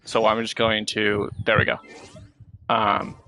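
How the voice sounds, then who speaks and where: A man's voice: fairly low pitch, completely flat delivery, slow pace, pushed and bright, a little hiss or hum. A man streaming a game and chatting casually as he plays. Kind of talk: casual gameplay chat.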